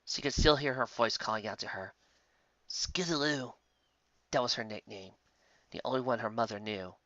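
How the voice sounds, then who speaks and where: A man's voice: on the low side, a little fast, a bit bright, dry recording, highs cut off. A man narrating a story in a dramatic, scripted reading style. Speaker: fan fiction reader.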